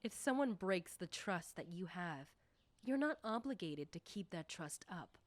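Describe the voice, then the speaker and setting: A woman playing a confident, nosy popular high school girl in an ASMR roleplay, talking coyly and teasingly to the listener. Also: lively intonation, a little slow, no room sound. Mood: indignant, self-assured.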